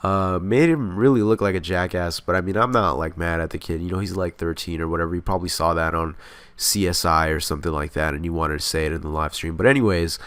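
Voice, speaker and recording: Deep-voiced congested man, close to the mic. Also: steady tone, fast talker, a bit bright, smooth, no room sound. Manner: rambling, profane, candid. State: sick and congested. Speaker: gaming commentator. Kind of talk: casual commentary over gameplay. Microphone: close mic, inches away.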